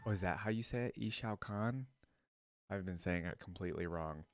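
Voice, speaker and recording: Male reaction host, lowish voice, casual off-the-cuff commentary over soft music. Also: brisk. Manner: informal, thinking aloud.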